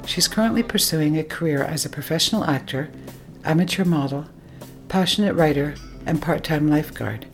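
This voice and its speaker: A woman hosting a radio story show, reading a measured, formal introduction. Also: sits low, expressive, soft background music.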